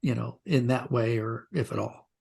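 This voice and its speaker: A man, a writer being interviewed, talking reflectively and haltingly as he rephrases his thoughts. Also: medium pitch, vocal fry, darkish tone, husky.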